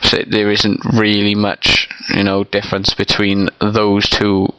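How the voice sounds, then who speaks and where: A man's voice: on the low side, completely flat delivery, measured pace, bright, forceful tone, smooth, dry recording, rough recording. A young man with a stuffy, congested nose, rambling casually in a vlog with lots of ums and you-knows. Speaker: vlogger. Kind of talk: casual unscripted opinion vlog.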